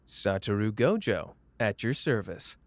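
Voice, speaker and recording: A man's voice: on the low side, sing-song, measured pace, creaky, heavily acted. A man playing a cocky, flamboyant young sorcerer who teases the listener in a playful, smug roleplay audio drama. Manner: playful, smug, drawling teasing.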